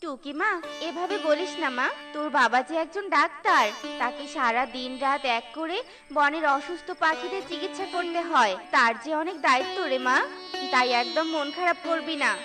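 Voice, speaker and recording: A woman's voice: high-pitched, moderate pace, music in the background, close to an everyday voice. A woman voicing a caring, anxious wife and mother bird in a cartoon, speaking earnestly. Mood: soothing.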